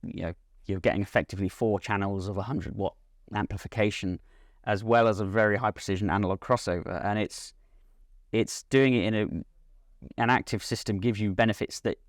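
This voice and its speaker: A man speaking as a company representative in an interview, giving measured, unscripted technical explanations of a product. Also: lowish voice, fairly even intonation, no echo, clean studio sound. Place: at a hi-fi trade show.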